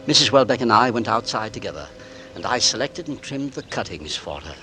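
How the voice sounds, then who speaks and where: A man's voice: lowish voice, big pitch swings, a little fast. An actor playing a precise, self-assured middle-aged gardener who narrates his own story in a 1940s radio drama, in an understated manner. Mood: brooding. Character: fussy, cold-blooded middle-aged male gardener. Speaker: narrator and lead. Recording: old radio recording with music bed.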